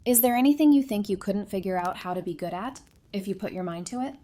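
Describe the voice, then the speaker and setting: A woman's voice: medium pitch, fast talker, warm tone, natural voice. A woman playing an affectionate, teasing young wife in an audio drama, talking in a casual, conversational way. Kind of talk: fan audio drama acting. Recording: clear background noise and ambience.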